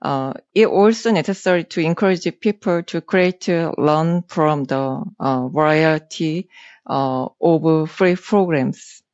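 A woman with a Korean accent answers slowly in a low voice, with many pauses between phrases. The sound is dry and close. Her video-call audio is slightly dull. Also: darkish tone, no echo. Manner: halting, many filler pauses. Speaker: panelist. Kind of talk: panel discussion on video call.

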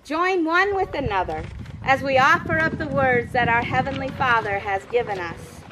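A woman pastor in her sixties leading an outdoor service, projecting with deliberate phrasing, recorded on a camera from a distance. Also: high voice, animated, noisy background. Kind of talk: outdoor church service, communion liturgy and informal asides. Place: open grassy campground, outdoors. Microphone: camera several metres away.

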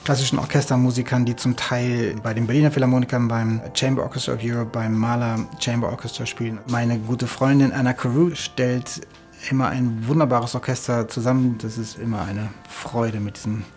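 A man's voice: medium pitch, level tone, a little fast, a little mellow. A man who works as a composer and arranger, speaking in interview style about a music production. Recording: music underneath. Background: background music.

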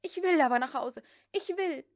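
A woman's voice: sits high, expressive, moderate pace, no room sound, clean studio sound, pitched above the performer's own voice. A woman voicing a desperate, willful young woman in an audiobook, urgent and prone to shrieking. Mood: desperate, insistent. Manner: urgent, shrieking when pushed. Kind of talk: dramatic audiobook character reading.

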